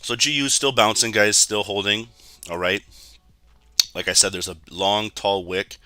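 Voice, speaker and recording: Hoarse low-voiced man, bright and dry. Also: steady tone, moderate pace, no echo, faint music behind. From Canada. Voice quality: raspy. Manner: chatty, keeps addressing viewers as guys. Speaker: trading educator streaming live. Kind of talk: casual live market commentary.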